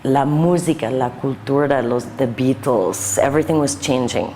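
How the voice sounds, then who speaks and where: Older American woman, English-accented, low and unhurried, reverberant room. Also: warm tone. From United States. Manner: halting, mixes Spanish and English. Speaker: guest artist. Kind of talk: interview answers. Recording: long reverb, faint noise.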